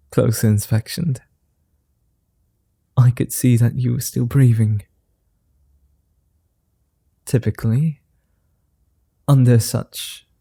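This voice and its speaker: A man playing a suave, flirty vampire in an ASMR roleplay, speaking softly in courtly, formal phrases with a gentle, teasing manner. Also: mid-range voice, no room sound. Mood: calm, reassuring. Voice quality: soft, dark, breathy. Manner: courtly formal wording, unhurried pauses, teasing.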